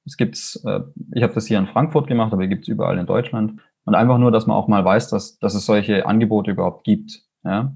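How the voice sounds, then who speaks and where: A man's voice: on the low side, steady tone, a little fast, creaky, hardly any pauses, darkish tone, slightly rough. A man with a Swabian accent, a fantasy author speaking casually and enthusiastically in an interview, rambling with fillers and restarts. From Swabia, Germany.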